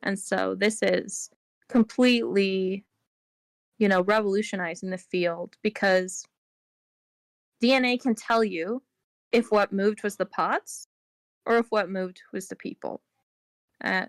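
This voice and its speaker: A woman's voice: mid-range voice, dry recording. A woman with a mid-range voice talks as a podcast guest, explaining her answers at length and filling gaps with "like" and "um". The sound is dry and close, with no room around it. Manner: explains at length, with many fillers like "like" and "um". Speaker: interview guest. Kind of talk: casual explanatory interview talk.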